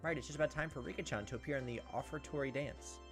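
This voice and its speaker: A man's voice: medium pitch, quick pace, music in the background. A man reading a visual novel aloud as a let's play host, with casual, crude joking asides.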